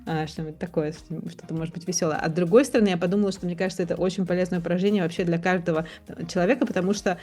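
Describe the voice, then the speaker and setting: A woman's voice: fairly low pitch, fairly even intonation, very fast, darkish tone, soft background music. A woman in a casual discussion, thinking aloud in a hesitant, reflective way and often rephrasing herself. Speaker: podcast participant.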